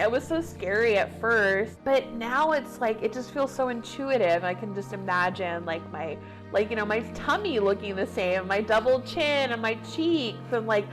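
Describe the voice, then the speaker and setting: A woman in her thirties, a guest author and activist, speaking earnestly in a reflective podcast interview with frequent fillers. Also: medium pitch. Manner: earnest, animated when excited, many fillers.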